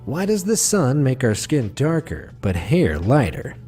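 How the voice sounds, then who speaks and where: A man reading a scripted facts video as narrator, with a smooth, wry delivery. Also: medium pitch, huge pitch swings, measured pace, over loud music.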